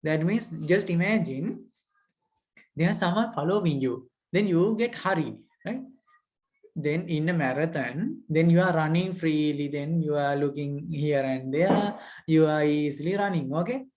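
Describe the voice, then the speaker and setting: A man's voice: fairly high pitch, measured pace, a little mellow. A man with a Sri Lankan accent teaching an English lesson. He poses rhetorical questions, tags lines with "right?", and repeats his key points. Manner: asks rhetorical questions and adds "right?" checks. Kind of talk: spoken lesson, lecturing students.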